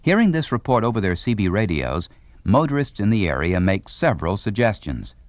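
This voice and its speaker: A man's voice: fairly low pitch, very animated, a little fast, no echo. A man reading scripted instructional narration with a polished, formal announcer delivery.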